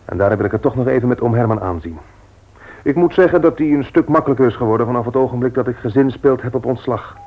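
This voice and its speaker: A man's voice: medium pitch, quick pace, lightly acted. An actor playing a reluctant, businesslike male shopkeeper in a Dutch radio play. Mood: reflective. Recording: tinny, narrow-band old radio recording.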